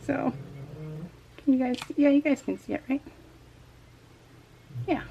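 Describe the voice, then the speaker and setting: A woman chatting casually to her viewers as a craft vlogger, in an excitable, rambling, giggly way. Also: high-pitched, a little slow, creaky, dull audio, a little hiss or hum. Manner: addresses viewers familiarly. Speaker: craft vlogger hosting a scrapbooking video.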